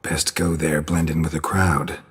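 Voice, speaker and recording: An actor playing a gruff monster hunter in a video game, gravelly and laconic, with a deadpan delivery. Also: male voice, rumbling low, unhurried, heavily acted. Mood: matter-of-fact. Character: gruff, terse, dry northern monster hunter. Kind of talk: scripted video game dialogue.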